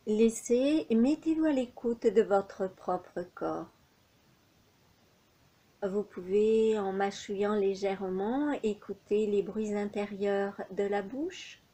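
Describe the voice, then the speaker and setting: A woman leading a guided yoga nidra relaxation as an instructor, speaking in measured, unhurried phrases with pauses between them. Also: mid-range voice, warm tone.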